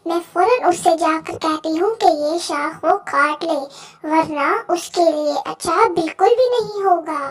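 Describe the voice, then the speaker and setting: A very high, small-sounding voice carries a bossy female crow , acted out by a woman storyteller. She scolds and threatens like a nagging neighbour in a children's moral tale, sharp-tongued throughout. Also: measured pace. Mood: bossy, threatening.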